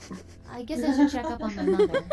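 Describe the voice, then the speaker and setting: A woman voicing a gentle, kindly female pony in an animated cartoon fan series. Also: high-pitched, quick pace. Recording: background music under the dialogue.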